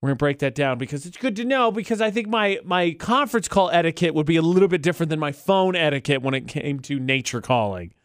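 A man with a smooth, clear voice, pitched a little high, hosts a radio show. He talks in a casual, bantering debate style, recorded close and dry. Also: lively intonation, fast, few pauses, dry recording.

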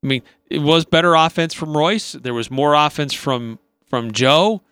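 A male sports radio co-host breaks down a game off the cuff in a bright, crisp voice. He is halting as he thinks aloud, recorded close and dry. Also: a little high, no room sound. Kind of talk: off-the-cuff basketball analysis.

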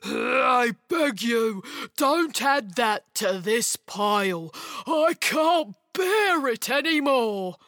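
A woman's voice: on the high side, expressive, extremely slow, fairly bright tone, dry recording, studio quality, heavily acted. A woman voicing a tiny, grumpy old male ogre in a children's story, grumbling and pleading earnestly. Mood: weary pleading.

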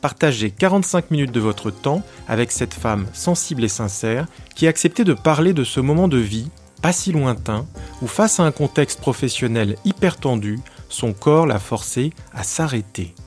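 Slightly higher-voiced male podcast host. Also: expressive, moderate pace, darkish tone, soft background music. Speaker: podcast host, professional coach. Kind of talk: scripted introduction and interview questions.

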